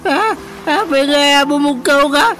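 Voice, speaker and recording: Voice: high voice, fairly bright tone, slight background noise. An actor with a Filipino accent playing a very old, deaf, girl-crazy village grandfather in a radio comedy, drawling and exclaiming. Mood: confused, grumpy. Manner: slow, drawn-out, exclaiming. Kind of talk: radio drama comedy acting. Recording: radio drama with music underneath. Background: clear music.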